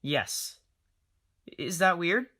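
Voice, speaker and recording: A woman's voice: fairly low pitch, lively intonation, very slow, bright, forceful tone, full character voice. A woman in an amateur audio drama playing a skeptical young passenger with doubting, teasing questions.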